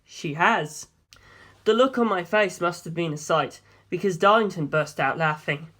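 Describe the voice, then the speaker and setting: A woman takes the part of a young man narrating an audiobook tale in the first person. The voice is pitched down, earnest and restrained, with a guarded seriousness. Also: measured pace, a bit bright. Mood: embarrassed, guarded.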